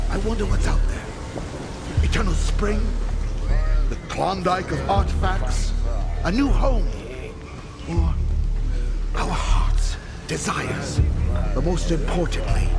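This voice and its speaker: A man's voice: sits high, full character voice. A man acting a charismatic, teasing rebel leader in a video game, with a sardonic, theatrical and familiar manner. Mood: fervent. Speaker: video game character in cutscenes. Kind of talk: dramatic video game dialogue. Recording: loud music behind dialogue.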